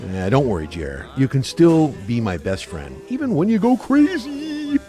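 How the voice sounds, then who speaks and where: Guy acting teasing skeptical hunter pal, radio play, super animated mid voice, faint music. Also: medium pitch, moderate pace. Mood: jovial, teasing. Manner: teasing, sociable. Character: wisecracking, skeptical hunting buddy, adult man.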